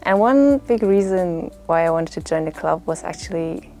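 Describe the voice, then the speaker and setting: This young woman from Germany speaks English with a loose, chatty rhythm full of "like". Her voice sits fairly low, and faint music runs in the background. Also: lively intonation, moderate pace, a little mellow. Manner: chatty, uses "like" often. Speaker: language student. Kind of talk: interview answers.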